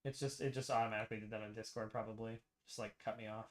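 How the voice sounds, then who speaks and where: A man's voice: lowish voice, steady tone, rapid-fire. A young American man chatting casually on a livestream, talkative and repeating himself as he good-naturedly argues a point. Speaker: streamer and ROM hacker.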